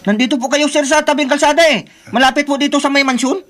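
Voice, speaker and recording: A worried village searcher acted by a man in a radio drama, with an extremely high voice. The delivery is urgent yet respectful. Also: fairly even intonation, fast talker, fairly bright tone. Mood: concerned, respectful. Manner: urgent, deferential. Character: concerned, respectful village search party member.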